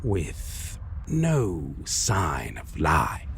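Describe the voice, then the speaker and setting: A man playing a taunting, menacing vampire in a dark fantasy audio roleplay, speaking deliberately and mockingly, at times in breathy whispers. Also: wildly swooping pitch, a little hiss or hum, full character voice. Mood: predatory, taunting. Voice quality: gravelly, low. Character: taunting, menacing male vampire, a lost love turned monster.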